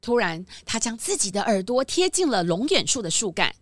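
A woman narrating a children's mystery story in a bright, expressive storytelling manner. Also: a little high, brisk, no echo.

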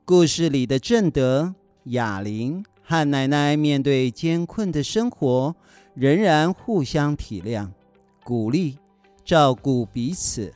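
A man's voice: fairly high pitch, expressive, slow, a little mellow, clear, resonant tone, no echo, soft background music. A man presenting the close of a children's radio story, putting scripted questions to young listeners. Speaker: presenter giving the closing message.

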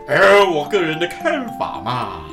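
A woman playing an expressive, theatrical narrator in an audio drama who muses and exclaims about heaven. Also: low voice, measured pace, full character voice. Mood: musing. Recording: roomy, distant, music behind.

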